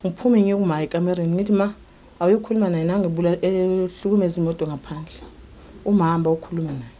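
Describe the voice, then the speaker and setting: Woman, rapid talker, low mellow voice, recounting events. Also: steady tone, slight background noise. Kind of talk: interview testimony.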